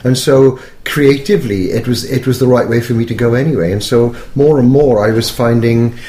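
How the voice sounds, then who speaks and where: A man's voice: mid-range voice, mellow. Educated Welsh man in an echoey room. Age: middle-aged or older. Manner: thoughtful, halting, self-correcting. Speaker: poet being interviewed. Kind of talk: reflective interview talk.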